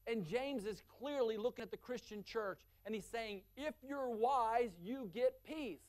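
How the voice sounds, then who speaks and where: A male pastor preaching a sermon, building force through long lists and dropping low and quiet for key points. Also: unhurried.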